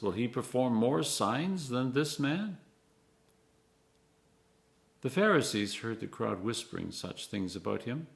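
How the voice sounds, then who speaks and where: A clergyman reading scripture aloud in an expressive, measured way, phrase by phrase, with emphatic stresses. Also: mid-range voice, vocal fry.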